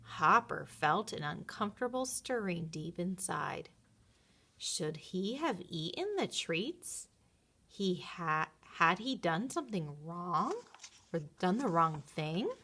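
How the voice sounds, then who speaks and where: A woman narrating a children's story in an expressive read-aloud style. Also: lowish voice, slow.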